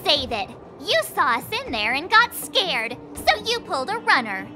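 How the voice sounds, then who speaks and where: A woman voicing a perky, outspoken teenage girl adventurer in a video game, blunt and animated. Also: high voice, normal speed, over music. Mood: pert, questioning.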